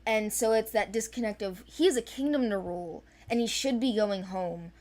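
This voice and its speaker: A woman talking casually and off the cuff as the creator of an animated series briefing people who want to audition. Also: mid-range voice, normal speed. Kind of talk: casual unscripted discussion.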